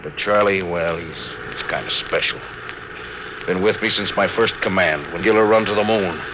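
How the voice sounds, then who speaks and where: Male actor as gruff space captain, short-tempered, loyal underneath, old radio drama, noisy recording. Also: low voice, normal speed, close to an everyday voice. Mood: regretful, softer. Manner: brusque, commanding. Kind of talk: classic radio drama acting.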